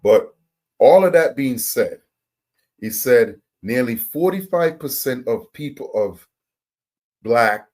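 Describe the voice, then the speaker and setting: Low-voiced man, casual reaction talk. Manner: loose, talkative, repeats words and uses slang. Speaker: host reacting to clips.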